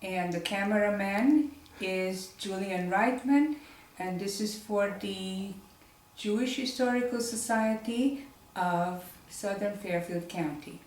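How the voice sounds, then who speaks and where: A woman interviewer opening an oral history session and gently prompting with questions. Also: medium pitch, slow, a little hiss or hum. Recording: roomy sound, mic far off.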